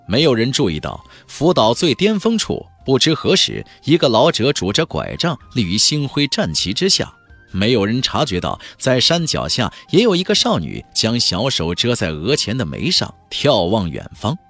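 A man narrating a fantasy audiobook as a dramatic, expressive storyteller. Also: medium pitch, moderate pace, faint music behind.

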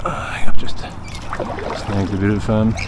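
A man's voice: fairly low pitch, little pitch movement, slow, in a whisper. An Australian man chatting casually while fishing from a kayak, rambling with lots of fillers, recorded on an action camera out on a lake. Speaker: fishing vlogger. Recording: outdoor camera audio with wind and water noise. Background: wind and water noise.

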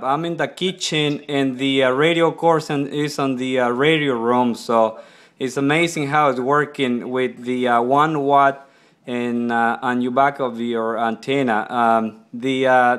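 A man's voice: medium pitch, a bit bright. A man speaking up in a casual ham radio exchange, with hesitant, repetitive phrasing, as a radio operator in a kitchen. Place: indoors in a kitchen. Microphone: laptop microphone nearby.

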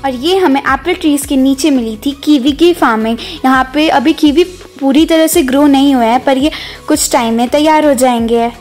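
A woman's voice: high voice, normal speed, darkish tone. A woman giving a chatty, casual vlog voice-over, mixing in English words. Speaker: vlog narrator. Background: background music.

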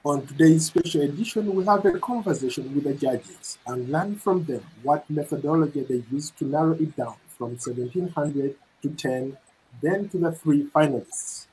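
A middle-aged man hosting a news interview, speaking in a measured way with frequent fillers and restarts. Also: fairly high pitch, a little mellow, a little hiss or hum, poor audio. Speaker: news reporter and interview host. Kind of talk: broadcast interview moderation. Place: indoor studio set.

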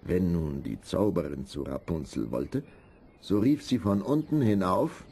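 A man narrating a scripted fairy tale in a measured, expressive storytelling manner. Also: low-pitched, creaky, slightly rough.

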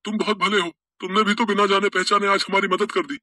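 A man voicing a kind, grateful rich businessman in an animated story, speaking in a raised voice. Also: high-pitched, fast, no echo, studio quality, voice pushed up, full character voice. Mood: earnest.

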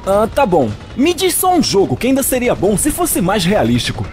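A skeptical young male gamer voiced by a man sounds sarcastic and exasperated in a comedy dub. The voice moves animatedly in pitch, with faint music in the background. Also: huge pitch swings, normal speed, darkish tone. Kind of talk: exaggerated comedy fan dub.

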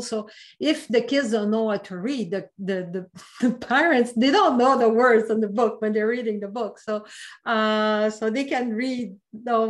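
A woman with a French accent, French her mother tongue, talks in English on a group video call. Her voice sits fairly high, and she speaks earnestly, stopping often to restart a thought. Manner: earnest, with frequent restarts and fillers.

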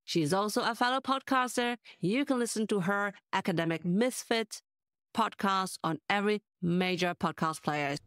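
A woman's voice: medium pitch, moderate pace, a bit bright, no echo. Woman podcast host, enthusiastic, emphatic delivery, dry close sound. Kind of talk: scripted intro and interview.

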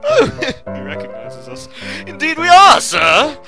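A man playing a jaunty, self-important gentleman explorer in a comedic radio drama, with genteel, blustering politeness. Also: very high-pitched, wildly swooping pitch, a little slow, music in the background, heavily acted. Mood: chummy, polite.

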